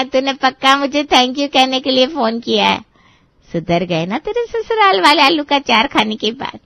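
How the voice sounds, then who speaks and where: A woman's voice: sits high, creaky, a bit bright, no echo, exaggerated voice. A woman playing a dramatic, scolding mother. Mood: smug. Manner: theatrical, lecturing. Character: bride's middle-aged mother, dramatic and scolding. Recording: dry, slightly muffled studio voice.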